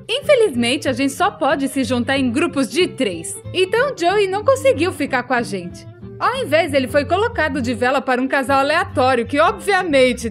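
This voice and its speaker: Female actor for a chatty Brazilian animated storyteller: high, very animated delivery, comic self-mocking asides, music underneath. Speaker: narrator of an animated storytime video. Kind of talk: animated storytime narration, Brazilian Portuguese fan dub.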